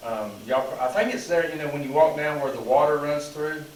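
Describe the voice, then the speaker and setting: A hoarse pastor leads a small study group in a loose, meandering way, leaning on "you know" between thoughts. He sits back from the mic, and the room rings around his voice with a faint hum underneath. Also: on the high side, fairly quick. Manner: rambling, frequent "you know" fillers. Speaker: pastor leading a Bible study. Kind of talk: casual teaching and group discussion. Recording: echoey room, mic at a distance.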